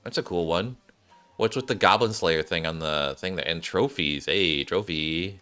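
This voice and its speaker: Male gameplay streamer, low register with a bright edge, off-the-cuff commentary, music underneath. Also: normal speed. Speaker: streamer playing and commenting on a mobile game. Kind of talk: casual gameplay commentary with read-aloud dialogue.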